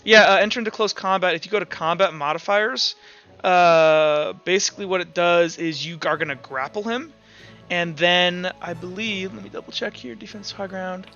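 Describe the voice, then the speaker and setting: A man's voice: sits high, moderate pace, bright, forceful tone, lo-fi sound. A man casually running a tabletop roleplaying game, rambling through rules and muttering as he counts and looks things up. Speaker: game master. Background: background music.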